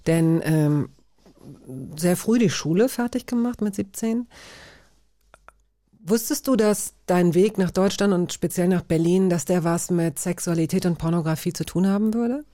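A woman hosting a conversational interview, phrasing her questions and remarks deliberately, with pauses. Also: on the low side, warm tone, no echo. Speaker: interview host.

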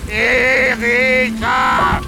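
High, slow exasperated villager. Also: male voice, little pitch movement, creaky, over loud music, exaggerated voice. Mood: exasperated, alarmed. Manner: exclamatory, scolding, calling out. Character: exasperated villager shouting for help, indignant temper. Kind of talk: radio drama dialogue.

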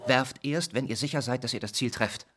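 An actor playing a militant squatter captain in a radio drama, giving formal, methodical instructions with military precision. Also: male voice, medium pitch, fairly quick, fairly bright tone, natural voice. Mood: stern, instructing.

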